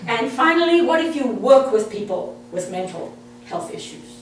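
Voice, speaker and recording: A woman's voice: on the high side, expressive, normal speed, soft background music. South African woman speaking in an echoey room. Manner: friendly, direct, chatty with the audience. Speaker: presenter. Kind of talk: interactive talk to an audience. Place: meeting room. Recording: echoey room, far from the mic, faint hum.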